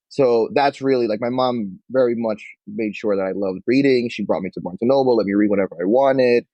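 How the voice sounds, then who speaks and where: Mellow-voiced young New man. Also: on the low side, no echo. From Queens, New York. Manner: relaxed, peppered with "like", acts out quotes. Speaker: bookshop owner, guest. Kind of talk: casual interview chat. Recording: dull lo-fi dry sound.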